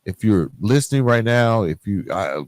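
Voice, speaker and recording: A man's voice: fairly low pitch, animated, normal speed, darkish tone, no echo, clean studio sound. A man hosting a podcast, speaking candidly and emphatically straight to listeners in colloquial, streetwise phrasing, like a motivational monologue. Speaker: podcast host.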